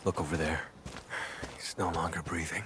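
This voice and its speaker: A male actor plays a brooding vampire investigator from a video game. His voice sits very low, and he speaks in clipped, deliberate phrases with grave restraint. Faint ambient noise runs behind him. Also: level tone, close to an everyday voice. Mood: somber. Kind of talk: scripted game dialogue.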